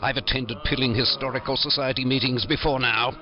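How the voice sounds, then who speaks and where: A man's voice: mid-range voice, fairly even intonation, fairly quick, muffled recording, voice pushed up, lightly acted. A man voicing a defiant, blustering villager in a comic horror audio drama. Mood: defiant, panicky.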